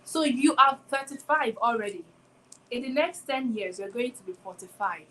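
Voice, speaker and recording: A young woman in her twenties with a slightly high voice talks through a reflective motivational monologue, circling back to the same questions again and again. A faint hum of room noise sits underneath her. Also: lively intonation, moderate pace, sharp tone, rough recording. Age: 20s. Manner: reflective, poses repeated rhetorical questions. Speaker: podcast host. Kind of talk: solo motivational talk.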